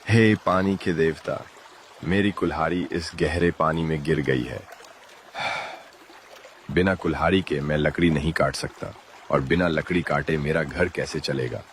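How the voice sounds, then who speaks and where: A man voicing a greedy, scheming villain who is cowardly underneath, in an animated moral story. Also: rumbling low, a little slow, a little hiss or hum. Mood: pleading.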